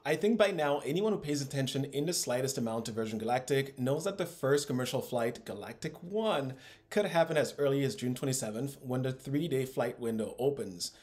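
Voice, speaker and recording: A man's voice: mid-range voice, a little mellow. A man in his thirties hosting investing videos, delivering scripted commentary with upbeat, emphatic phrasing. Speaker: host of an investing channel. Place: small room at home, indoors.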